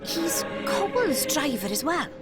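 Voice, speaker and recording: A woman voicing a blunt, sceptical, excitable small companion in a video game. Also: high voice, unhurried, loud music behind the voice. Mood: incredulous. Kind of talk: video game cutscene acting.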